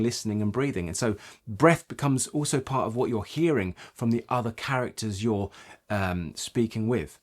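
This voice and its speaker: A man giving an instructional talk as a voice coach, explaining points emphatically and checking in with short rhetorical questions. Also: medium pitch. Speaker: voice acting coach.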